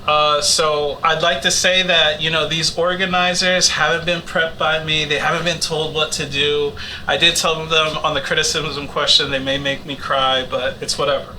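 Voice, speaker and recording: A man's voice: a little high, flat delivery, moderate pace, bright, forceful tone, a little hiss or hum, poor audio. A man hosting an informal panel interview, speaking in an encouraging, casual way. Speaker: host interviewing young community organizers.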